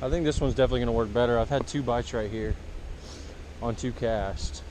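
A young man casually commenting on his bass fishing to viewers, excited and laughing, filmed on a head-mounted camera by a canal. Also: brisk, a little hiss or hum, poor audio. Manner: excited, laughing, talks to viewers as boys and girls. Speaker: fishing vlogger. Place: grassy canal and pond bank outdoors. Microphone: head-mounted action camera.

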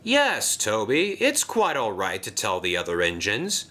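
A fussy, commanding railway director, put on by a man in a storybook reading. He speaks formally and crisply in a bright voice, with a pitch that climbs and dips dramatically. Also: huge pitch swings, heavily acted. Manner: formal, brisk.

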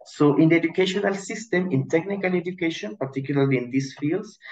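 A man in his thirties from Ecuador, giving a measured panel presentation over a video call, with frequent restarts. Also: a little high, darkish tone, highs cut off. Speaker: education manager, panelist. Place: indoor room. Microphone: video call webcam.

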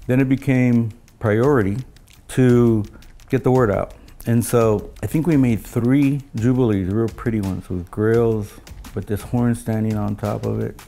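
An older man with a low, gravelly voice reminisces in an interview. He tells his story casually and haltingly, often quoting conversations, with music behind him. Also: warm tone. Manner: halting, anecdotal storytelling with quoted dialogue. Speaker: loudspeaker engineer interviewee. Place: living room of a home, indoors.

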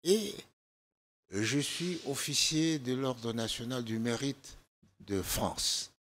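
An older man from Congo with a hoarse, gravelly voice, speaking as a political guest in a TV studio interview, measured and halting with many fillers. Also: medium pitch, lively intonation, fairly bright tone, slight background noise. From Democratic Republic of the Congo. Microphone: desk microphone.

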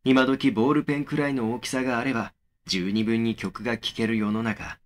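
A man playing a young man who narrates his eerie memories in a measured, matter-of-fact way for a horror audio drama. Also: mid-range voice, fairly even intonation, lightly acted. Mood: brooding, matter-of-fact.

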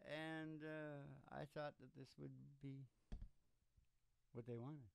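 An older man hosting in casual banter, rambling and halting, often trailing off, speaking close into a stage microphone. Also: on the high side, steady tone, gravelly, smooth, no room sound. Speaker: podcast host and country singer-songwriter. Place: small curtained studio stage. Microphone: stand microphone up close.

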